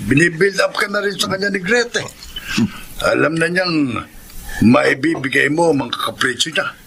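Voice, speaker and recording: An actor playing a sly, pleasure-seeking Filipino pal who coaxes and insinuates in a radio drama. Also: male voice, fairly high pitch, expressive, measured pace, gravelly, bright, forceful tone, a little hiss or hum, exaggerated voice. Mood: sly, coaxing. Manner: insinuating, coaxing.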